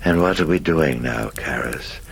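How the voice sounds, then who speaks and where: A man voicing a sly, courtly demon, mocking and theatrical, with a croaking voice in an audiobook story. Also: rumbling low, a little slow, heavily acted. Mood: cordial. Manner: theatrical, archly polite, taunting.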